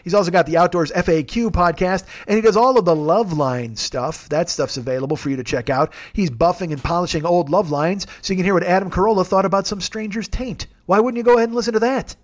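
A man in his forties hosting a podcast alone, talking in a rambling, jokey, self-deprecating way with a gravelly voice. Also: high voice, fast talker, hardly any pauses. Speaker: podcast host. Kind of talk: casual solo monologue.

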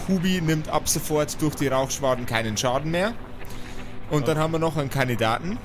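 A man's voice: fairly high pitch, lively intonation, fairly quick, background noise. A man narrating an improvised tabletop role-playing game as the game master. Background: background music.

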